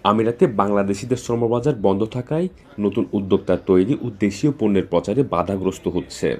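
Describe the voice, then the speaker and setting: A man's voice: fairly low pitch, a little fast, dark tone. A man reading scripted news narration as a reporter.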